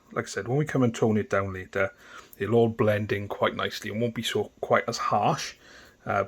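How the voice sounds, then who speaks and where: A man with a Welsh accent guides viewers through painting a model in a low, easy voice. He talks casually, pausing on fillers and restarting sentences as he works. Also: slightly rough. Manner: relaxed, chatty, with frequent fillers and restarts. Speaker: miniature painting tutor. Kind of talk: casual step-by-step tutorial narration.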